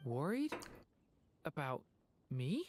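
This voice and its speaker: A woman voicing a gentle, earnest young boy in a video game, with a sincere, hesitant way of talking. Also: deep voice, very animated, takes it slowly, soft background music, full character voice. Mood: apologetic, touched.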